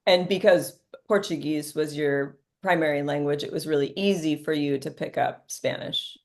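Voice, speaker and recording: Woman host and teacher, low-pitched with vocal fry, encouraging and affirming. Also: normal speed. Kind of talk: conversational interview.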